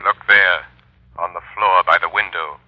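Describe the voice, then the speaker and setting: An actor playing a mysterious, all-knowing invisible crime fighter in an old radio thriller, speaking with measured, ominous command. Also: male voice, deep voice, fairly even intonation, lightly acted. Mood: calm, authoritative. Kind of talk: 1930s-40s radio thriller acting. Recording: dull, lo-fi old radio recording.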